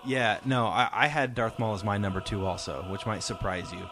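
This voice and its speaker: A man's voice: fairly low pitch, steady tone, moderate pace, noisy background. A man hosting a podcast discussion, talking casually and enthusiastically in informal, slangy language. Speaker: podcast host. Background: music playing faintly.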